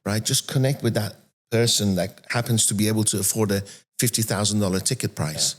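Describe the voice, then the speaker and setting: A soft-spoken man in his fifties from Iran who moved to England as a teenager, telling personal stories as an interview guest. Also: on the low side, fairly quick. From born and raised in Iran, moved to England at 13. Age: 50s. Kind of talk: animated personal storytelling in an interview.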